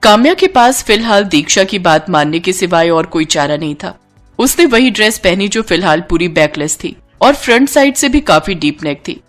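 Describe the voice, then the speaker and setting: A woman storyteller delivering scripted cartoon story narration in an expressive way. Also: fairly low pitch, moderate pace, faint music behind.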